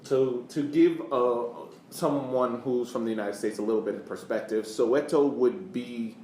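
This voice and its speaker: A man co-hosting a casual sports history discussion, explaining haltingly and often repeating words. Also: medium pitch, dark tone. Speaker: podcast co-host. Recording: echoey room, faint background noise.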